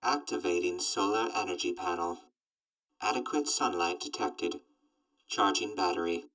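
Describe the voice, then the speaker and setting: An actor playing a literal, gloomy robot companion in an audio drama, speaking in clipped, deadpan system announcements. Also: male voice, deep voice, moderate pace, far from the mic, rough recording. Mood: flat, mechanical. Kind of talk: post-apocalyptic audio drama.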